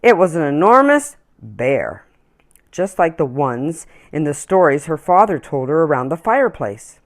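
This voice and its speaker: A woman reading a children's story aloud in an expressive, deliberate storytelling manner. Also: low-pitched. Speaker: children's story-time reader.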